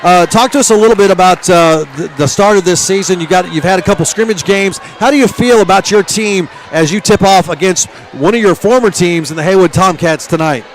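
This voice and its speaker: A man calling a live high school basketball game and interviewing at halftime, energetic, with frequent "uh" fillers. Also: fairly quick, few pauses, low-quality recording. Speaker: play-by-play announcer.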